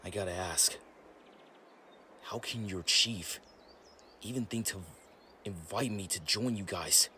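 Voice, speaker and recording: A man's voice: lowish voice, slow, pauses often, roomy sound, in a large hall, slight background noise, full character voice. A man voicing a weary, blinded mutant turtle warrior with wry, brooding narration in an amateur comic dub. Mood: doubtful, questioning. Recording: loud film-score music under voice.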